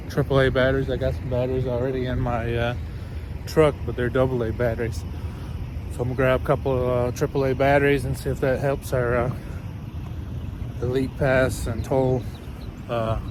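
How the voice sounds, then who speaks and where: A man in his thirties or forties talking casually to his phone as a trucker vlogger, in a halting, matter-of-fact way. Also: mid-range voice. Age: 30s to 40s. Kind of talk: casual vlog talk. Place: truck cab and open parking lots outdoors. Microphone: phone at arm's length. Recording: noisy outdoor and truck-cab phone recording.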